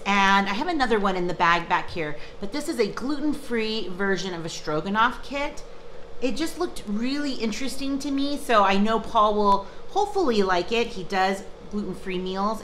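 Upbeat woman vlogger in her fifties, bright tone, casual kitchen chat to a close camera. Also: medium pitch, slight background noise, rough recording. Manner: chatty and upbeat, with frequent fillers and restarts. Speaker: vlogger showing a grocery haul. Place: home kitchen, indoors. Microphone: camera close up, in front of her. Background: ice machine running.

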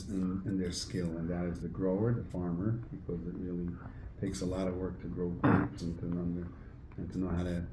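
A man in his sixties, a winemaker explaining his trade casually in an interview, somewhat haltingly, recorded across a table indoors. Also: sits low, mellow. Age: 60s. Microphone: phone camera across a table. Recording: roomy phone recording, faint background noise.

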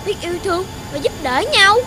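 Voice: very high-pitched, animated, a little fast. An actor voicing an eager, cheeky little boy puppy in a children's cartoon, childlike and exclamatory. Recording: loud music over voice.

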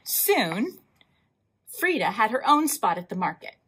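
A woman hosting a library storytime, reading a picture book aloud in an animated way, with emphatic drawn-out words and asides to young listeners. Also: medium pitch, slight background noise, poor audio. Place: indoors, in front of a wall with floral decals.

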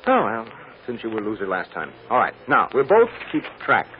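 An actor playing a playful, authoritative defense lawyer and boss in an old-time radio drama, with mock-stern banter. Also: male voice, lowish voice, wildly swooping pitch, normal speed, a little hiss or hum. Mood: playful bargaining. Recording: dull lo-fi old radio recording.

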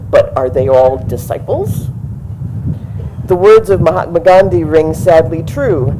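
Slow, mellow-voiced woman preaching outdoors. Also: medium pitch, a little hiss or hum, low-quality recording. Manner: measured, explanatory preaching with emphatic rises. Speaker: pastor. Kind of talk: sermon. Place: outdoor tent service in front of a brick church. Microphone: stand mic at a lectern, far camera.

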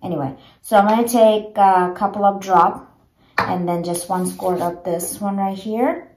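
A woman in her thirties chatting casually through a home hair tutorial, with many fillers and a gentle, way of talking, filmed on a propped phone in a small bedroom. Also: medium pitch, steady tone, unhurried, slight background noise. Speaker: beauty vlogger. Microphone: phone camera propped low. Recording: echoey small room.

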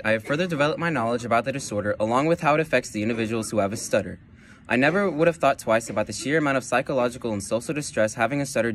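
A young man rapidly reading his prepared part of a class presentation. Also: mid-range voice, a little hiss or hum. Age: young adult. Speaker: student presenter.

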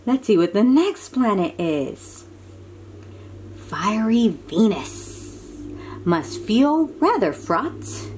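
A woman reading a picture book aloud to young children, expressive and full of exclamations, drawing out words and dropping into hushed asides as she asks simple questions. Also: mid-range voice, very slow, slight background noise. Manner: talks directly to young listeners with simple questions. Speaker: children's read-aloud host.

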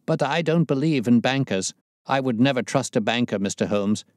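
A man's voice: mid-range voice, expressive, moderate pace, no echo, clean studio sound, lightly acted. A man voicing a very fat, frightened storybook character, anxious and evasive, talking in a nervous, pleading way. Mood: anxious, confiding. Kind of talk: audiobook character voice.

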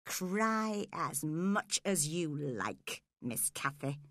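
A woman's voice: low-pitched, animated, slow pace, pushed and bright, dry recording, studio quality, voice pushed down, full character voice. A woman voicing a cold, scheming, cruel father in a dramatised audiobook. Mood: calm menace.

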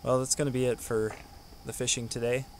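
A man in his thirties with a middling, everyday pitch talks easily to his own camera, casual and unhurried. He is outdoors beside a lake, recorded on an action camera held at arm's length, with crickets chirping behind him. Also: loud music behind the voice. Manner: relaxed, chatty, talking to camera. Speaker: fishing vlogger. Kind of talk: casual vlog narration.

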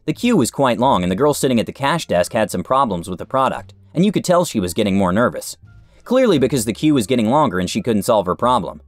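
A man narrating forum stories in a steady scripted read. His pitch rises and falls freely. The sound is dry and close, with faint music underneath. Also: fast, dry recording.

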